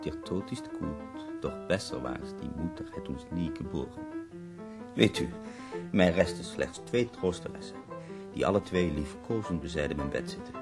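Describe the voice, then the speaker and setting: Very deep, gravelly dying poet. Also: male voice, moderate pace, over loud music. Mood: melancholy, declaiming. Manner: theatrical, ornate, ironic phrasing. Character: bedridden dying male poet, wry, morbid, eloquent. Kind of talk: radio drama acting.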